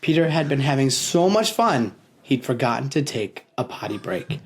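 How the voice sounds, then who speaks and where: Animated man reading stories aloud. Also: medium pitch, moderate pace, breathy edge. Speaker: storytelling podcast host and narrator. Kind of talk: expressive read-aloud children's story narration.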